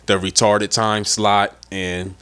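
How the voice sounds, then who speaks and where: A young man talks loosely on his own podcast, rambling and swearing as he goes. His voice sits a bit low and sounds slightly stuffed up from a cold. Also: flat delivery, slow, a bit bright, clean tone. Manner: rambling, profane, laid-back. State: stuffy nose from a head cold. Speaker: podcast host. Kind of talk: casual solo podcast talk.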